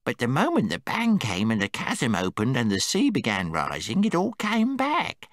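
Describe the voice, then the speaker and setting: A timid male gnome, fretful and squeaking when scared, as a man reads him aloud. The voice leaps wildly between low mutters and high squeals. It sounds dry and close, with no room around it. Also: huge pitch swings, normal speed, a bit bright, dry recording. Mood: weary relief. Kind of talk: audiobook character voice.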